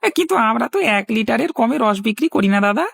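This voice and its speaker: An actor playing a sly, blunt-tongued village cheat's sidekick in a cartoon, talking in an excitable, blurting way. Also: medium pitch, fast talker, gravelly, breathy edge, full character voice. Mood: cheeky. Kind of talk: cartoon voice acting.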